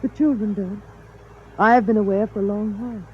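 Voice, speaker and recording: A woman acting in a 1950s radio drama as a serene, wistful artificial wife, measured and breathy, on a thin old recording. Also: mid-range voice, fairly even intonation, muffled recording, slight background noise, natural voice. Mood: wistful, composed.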